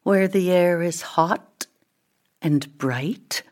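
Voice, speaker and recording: A woman voicing an exotic, worldly female ebony tree with a breathy, whispery delivery in a storybook. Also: on the low side, very slow, darkish tone. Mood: mysterious. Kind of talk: storybook character voice.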